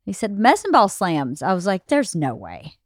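A woman's voice: mid-range voice, wildly swooping pitch, moderate pace, dry recording, clean studio sound. A woman in her late forties speaking in casual, intimate conversation as a podcast co-host, candid, reassuring and reflective. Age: late 40s.

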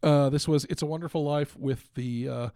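A man's voice: a little high, fairly even intonation, normal speed, mellow, clean tone, no room sound, clean studio sound. A man hosting a podcast, talking casually as a radio play writer.

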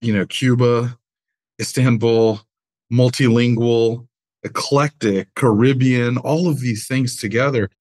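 Husky-voiced curious male podcast host. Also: medium pitch, fairly even intonation, takes it slowly, darkish tone, no room sound, clean studio sound. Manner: friendly, curious questioning. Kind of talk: interview questions.

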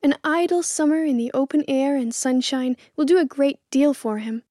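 A woman voicing a gentle, fond, genteel mother in a storybook, speaking earnestly. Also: sits high, normal speed, dry recording, clean studio sound, voice pushed up, natural voice. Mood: hopeful.

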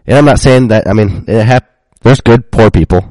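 A man with a very low, dark voice, stuffed up and a bit congested from a cold. He talks in an easy, conversational way with fillers, and the sound is dry and close. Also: moderate pace, dry recording, low-quality recording. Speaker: podcast co-host. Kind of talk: casual chat.

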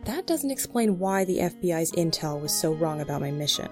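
A woman's voice: fairly low pitch, big pitch swings, fairly quick, over music. An actress playing a tough, short-tempered female FBI agent in an audio drama, brusque and authoritative. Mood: guarded, firm.

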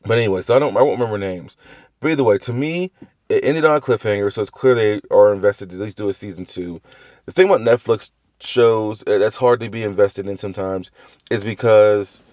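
A man hosts a loose, talky review show and wanders off on tangents, restarting sentences and tossing in the odd swear. His voice sits a little low, and a slight cough hangs on from a recent flu. The recording is dry, with a slightly dull, lo-fi sound. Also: fairly quick, a little mellow, dry recording. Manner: rambling with tangents, frequent restarts and mild swearing. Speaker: podcast host reviewing TV shows. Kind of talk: casual solo review chat.

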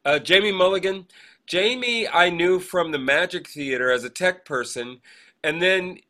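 A man with a slightly high, bright and forceful voice co-hosts a casual podcast. He talks in a digressive way, pausing and hesitating as he wanders from one aside to the next. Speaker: podcast co-host. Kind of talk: casual chat, rambling birthday shout-outs.

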